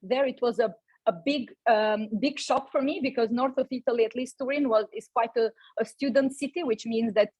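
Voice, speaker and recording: A woman's voice: fairly high pitch, brisk, a little mellow, breathy edge, no room sound, poor audio. A young woman from Albania, giving a personal talk at a company event, with many fillers and restarts. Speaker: invited speaker.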